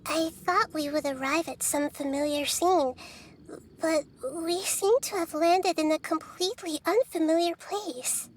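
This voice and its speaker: A woman voicing a small, wise girl deity in a video game, soft and girlish, speaking calmly and articulately. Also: extremely high pitch, measured pace, slight background noise. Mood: puzzled, thoughtful. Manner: calm, articulate, reassuring. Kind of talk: English video game voice acting.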